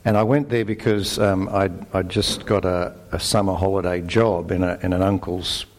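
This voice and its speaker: A middle-aged Australian man giving a conversational sermon, relaxed and self-deprecating, with fillers and restarts. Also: low-pitched, normal speed, slight background noise. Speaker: preacher.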